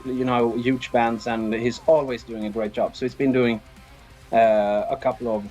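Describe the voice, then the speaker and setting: A man with a Swedish accent talks casually as an interview guest. His pitch sits in the middle, and he often hesitates while he thinks. Faint guitar music plays behind him. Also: little pitch movement, normal speed, a little mellow, dull audio. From Sweden. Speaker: band drummer, interview guest.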